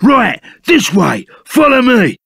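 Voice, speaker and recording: Gravelly Cockney bandit sidekick. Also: male voice, extremely high pitch, wildly swooping pitch, takes it slowly, fairly bright tone, no room sound, studio quality, full character voice. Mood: gruff, chummy. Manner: blunt, colloquial, chummy. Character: big gruff good-hearted bandit sidekick, rough and loyal. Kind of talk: video game voice acting.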